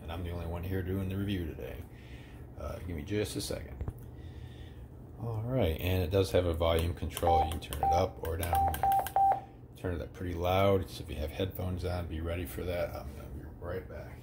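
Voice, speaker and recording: A man's voice: deep voice, steady tone, moderate pace, background noise. A middle-aged man giving a casual, rambling product review at home, with plenty of "uh"s. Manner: easygoing, rambling with many "uh"s. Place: indoors at home.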